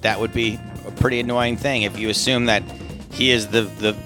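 A man's voice: fairly low pitch, steady tone, moderate pace, music in the background. A man co-hosting a podcast, chatting casually in an informal, emphatic way. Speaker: podcast co-host. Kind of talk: casual chat.

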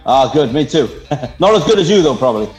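A man's voice: on the high side, very animated, a little fast, a little mellow, soft background music. A man with a South Welsh accent, chatting casually as a podcast guest from an echoey room. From South Wales. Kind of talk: casual chat. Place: holiday lodge, indoors.

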